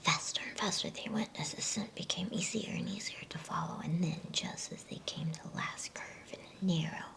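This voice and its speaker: Woman, whispered storytelling, creaky breathy fry, low flat pitch, soft and soothing, ASMR bedtime reading. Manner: soft, slow, soothing, with pauses between phrases.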